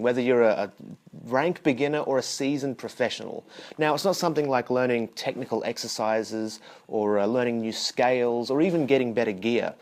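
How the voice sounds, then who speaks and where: A young man teaching bass, talking straight to the viewer in a chatty, explaining way and asking questions as he goes. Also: a little mellow. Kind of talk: direct-to-camera instructional talk.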